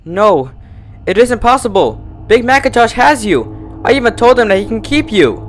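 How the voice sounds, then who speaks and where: A man's voice: very high-pitched, big pitch swings, moderate pace, slight background noise, pitched above the performer's own voice. A man voicing a frightened but defiant young female pony, snappy and agitated, in a horror fan fiction reading. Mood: disbelieving.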